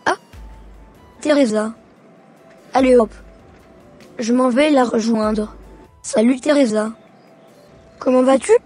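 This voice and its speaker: A woman as a high, husky cartoon schoolboy. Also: slow, long pauses, mellow, soft background music. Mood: fed up. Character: fed-up, open-minded schoolboy son. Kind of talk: animated cartoon dialogue.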